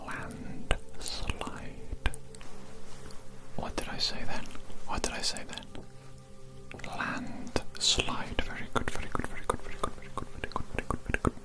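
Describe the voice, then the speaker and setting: An ASMR creator whispering slowly into a close microphone, running a calm hearing-test roleplay with gentle, repetitive praise. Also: loud music behind the voice. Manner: soft whispering, slow and repetitive, gently encouraging. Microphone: close microphone with headphone-targeted sound.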